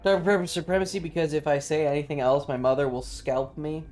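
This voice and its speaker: A man's voice: on the high side, steady tone, fast, a little mellow, faint music behind. A man livestreaming a video game with casual, slangy, swearing chatter and jokey commentary. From Southern United States.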